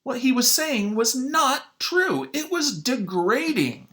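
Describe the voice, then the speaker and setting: A man reading a children's book aloud as a teacher, with dramatic, emphatic phrasing and pauses. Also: very high voice, big pitch swings, pushed and bright. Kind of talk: expressive children's read-aloud.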